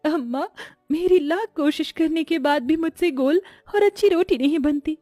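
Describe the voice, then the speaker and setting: A woman's voice: high voice, normal speed, mellow, no echo, clean studio sound, soft background music, voice pushed up, close to an everyday voice. A woman voicing a poor, humble young daughter-in-law in a cartoon story, in a meek, sincere manner. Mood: troubled.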